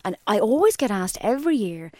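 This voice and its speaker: Animated Irish woman telling stories. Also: a little fast, no room sound. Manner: animated storyteller, jumps into high quoted voices. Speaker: podcast co-host. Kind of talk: casual chat.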